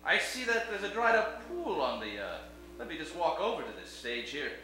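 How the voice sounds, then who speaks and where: Man playing a high-pitched, animated detective hero. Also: moderate pace. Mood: curious. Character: earnest, suspicious pulp detective hero, a man. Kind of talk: comedic old-time radio play acting. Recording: roomy live stage, music behind.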